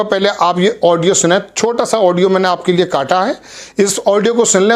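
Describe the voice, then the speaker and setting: A man hosting an online news video, talking earnestly and correcting himself as he goes. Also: high-pitched. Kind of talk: news commentary to camera.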